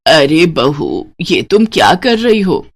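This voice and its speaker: A woman voicing an older, weary mother-in-law who manages her household and scolds, in an animated story. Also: low-pitched, slow, slight background noise, pitched below the performer's own voice, full character voice. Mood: correcting. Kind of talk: animated moral story character voice.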